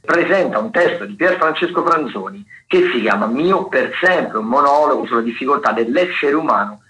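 A man's voice: mid-range voice, lively intonation, normal speed, bright, forceful tone, slight background noise, low-quality recording. A man, a theatre critic chatting informally through event listings and repeating words as he goes. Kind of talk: chatty spoken listings review.

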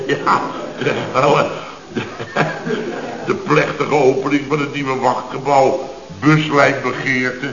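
An actor playing an indignant, sarcastic building-firm boss in a Dutch radio comedy from around 1970, with blustering, emphatic delivery. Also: male voice, medium pitch, flat delivery, takes it slowly. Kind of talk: late 1960s Dutch radio comedy acting. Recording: roomy, dull old radio recording.

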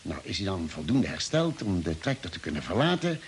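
Deliberate doctor, old hissy radio play. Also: male voice, deep voice, very animated. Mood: pondering. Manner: deliberate, explanatory, hesitating mid-sentence. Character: thoughtful expedition doctor, calm and reasoning. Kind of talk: 1950s-style radio drama acting.

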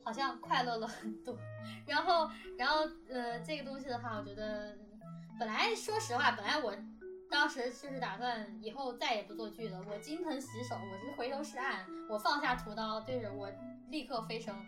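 Higher-voiced woman chatting, a bit distant. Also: moderate pace. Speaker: audio drama producer. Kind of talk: casual behind-the-scenes chat. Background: music.

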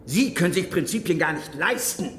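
High-voiced, menacing, scornful man. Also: lively intonation, fairly quick, fairly bright tone, slight background noise. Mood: cold, threatening. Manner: commanding, scornful. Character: menacing, contemptuous man demanding his money. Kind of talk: radio crime drama dialogue.

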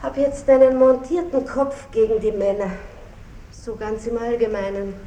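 An actress playing a worldly, dignified noblewoman, composed and gently commanding, in a radio drama. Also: on the high side, steady tone, normal speed, recorded from a distance, natural voice. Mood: cool, firm. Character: worldly, dignified Viennese noblewoman, wistfully renouncing. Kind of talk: 1960s German radio drama acting. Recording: roomy, faintly noisy old radio recording.

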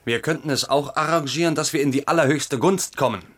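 An actor playing a cynical royal tutor in a radio play, measured, dry and mock-deferential. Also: male voice, a little high, fairly bright tone, breathy edge. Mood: sly mockery. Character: cynical, dry courtly tutor needling his pupil.

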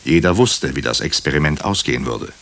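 An actor playing a sober doctor who narrates a crime story in a radio drama, in a measured, literary way. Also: male voice, extremely low pitch, lively intonation, a bit bright, full character voice. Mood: sober, observant.

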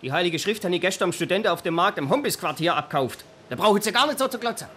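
A man's voice: sits high, expressive, quick pace, pushed and bright, slight background noise. An actor playing an indignant, pompous and pious businessman with a southern German accent in a radio drama. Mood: blustering, outraged. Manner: blustering, pompous, self-righteous. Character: indignant, pious businessman, church elder, blustering when accused.

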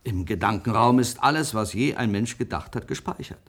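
A man's voice: lowish voice, lively intonation, fairly quick, a bit bright. Self-assured, pompous male professor. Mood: lecturing. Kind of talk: naturalistic radio drama dialogue.

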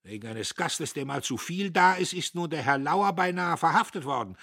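A man voicing a gruff, bullying retired army major who barks and thunders. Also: fairly high pitch, expressive, normal speed, fairly bright tone, no echo, clean studio sound, voice pushed up, exaggerated voice. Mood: angry. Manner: barking, thundering.

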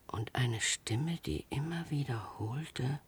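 An actor in a radio drama playing a forgetful, confined character who speaks in a dreamy, halting way. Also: medium pitch, lively intonation, husky, no echo, heavily acted. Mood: hushed, uneasy.

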